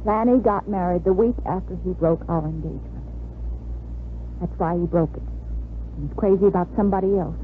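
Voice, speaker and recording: A woman's voice: lowish voice, a little fast, long pauses, close to an everyday voice. An American woman playing a troubled young bride-to-be in a hesitant, earnest 1950s radio drama style. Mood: tense, confessing. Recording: muffled vintage radio recording, faint hiss.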